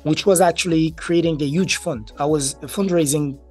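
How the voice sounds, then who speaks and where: A man's voice: on the high side, normal speed, a little mellow, soft background music. A man from Morocco, speaking as an interview guest, casually telling stories and acting out bits of dialogue. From Marrakesh, Morocco. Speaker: interview guest, crypto entrepreneur. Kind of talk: casual conversational storytelling.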